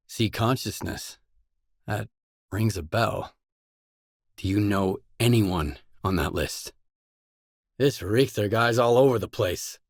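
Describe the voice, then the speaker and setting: A man's voice: on the low side, dry recording, studio quality. An actor playing a guarded, blunt male stalker, the protagonist of a post-apocalyptic video game, speaking in terse scripted lines. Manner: terse, blunt, probing questions.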